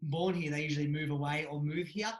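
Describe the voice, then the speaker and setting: A man's voice: on the high side, fairly even intonation, fairly quick. An Australian man in his thirties chatting casually as an interview guest, easygoing with lots of fillers. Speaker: guest, judo coach. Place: small room at home. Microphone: webcam video call. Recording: echoey room.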